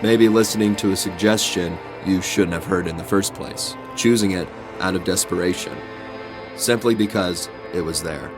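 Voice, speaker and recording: Low-voiced man narrating over guitar music. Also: moderate pace. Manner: reflective, confessional. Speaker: video essayist. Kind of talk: scripted personal video essay narration.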